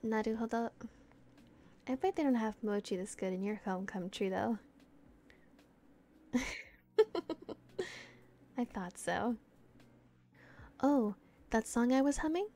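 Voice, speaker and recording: A young woman playing a friendly, bashful, teasing older sister in a gentle, chatty ASMR roleplay. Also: on the high side, takes it slowly, long pauses. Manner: gentle, giggly, bilingual English and Japanese. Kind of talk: soft ASMR roleplay, casual chat.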